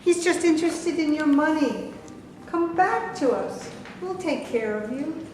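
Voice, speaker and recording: A woman's voice: high voice, measured pace, a little mellow, a little hiss or hum. A woman reading the part of a righteous congregation woman in a staged play, preachy and reproachful. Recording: echoey hall from a distance.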